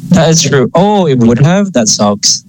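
Relaxed, halting man podcast co-host. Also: fairly high pitch, a little hiss or hum, rough recording. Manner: relaxed, halting, lots of fillers. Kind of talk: casual chat.

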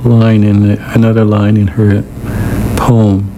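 A man hosting a community radio show who stutters, with repeated sounds and pauses as he talks. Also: on the low side, monotone, background noise. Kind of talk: community radio talk and announcement.

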